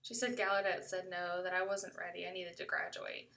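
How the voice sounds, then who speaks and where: Bright-voiced American woman telling a story. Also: lowish voice, little pitch movement, a little fast, vocal fry, recorded from a distance. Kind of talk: first-person storytelling, conversational.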